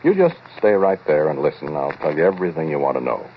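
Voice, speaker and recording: An actor playing an ominous, knowing scientist who confides a strange tale straight to the listener, deliberate and sardonic, in 1940s radio drama style. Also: male voice, extremely low pitch, wildly swooping pitch, creaky, a little hiss or hum, full character voice. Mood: sardonic, menacing. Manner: intimate, deliberate, sardonic, addressing the listener directly. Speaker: narrator and lead actor in a radio play. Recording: muffled vintage 1940s radio recording.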